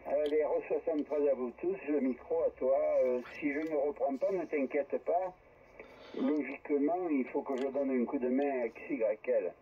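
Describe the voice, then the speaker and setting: A man's voice: medium pitch, normal speed. An older man talking in a casual, hesitant, meandering way as an amateur radio operator on air. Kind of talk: casual ham radio chat. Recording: dull, faintly noisy amateur radio transmission.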